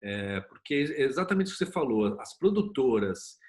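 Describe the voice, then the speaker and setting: A man's voice: medium pitch, sing-song, moderate pace. A Brazilian man speaking as a guest in a casual discussion, emphatic and colloquial. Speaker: interview guest, producer and screenwriter.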